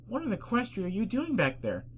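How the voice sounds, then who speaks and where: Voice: medium pitch, wildly swooping pitch, fast, slight background noise, pitched above the performer's own voice. A reader voicing a sensible female unicorn pony who takes charge earnestly in a fan story. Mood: puzzled.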